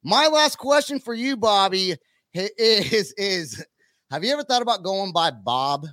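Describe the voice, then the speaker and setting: High, bright-voiced man hosting. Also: lively intonation, a little slow, no room sound, clean studio sound. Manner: informal, slangy, swears. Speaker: podcast host. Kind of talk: casual interview chat.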